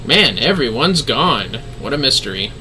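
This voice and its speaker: A man's voice: mid-range voice, sing-song, moderate pace, background noise. A man giving casual livestream game commentary in an offhand, wry, slangy way. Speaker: game streamer.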